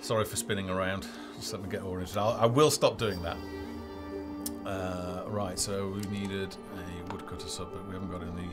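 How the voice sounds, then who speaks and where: A man with a fairly low voice talks through a live game session as its developer host. He thinks aloud, hesitating as he goes. Game music plays clearly behind him. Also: flat delivery, moderate pace. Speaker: game developer hosting a livestream. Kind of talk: live gameplay commentary.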